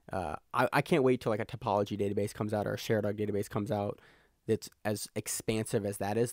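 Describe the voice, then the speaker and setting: Fast-talking man on a podcast. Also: fairly low pitch, no room sound, studio quality. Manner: fast, joking, talks over his co-host. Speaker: podcast co-host. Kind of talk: casual podcast chat.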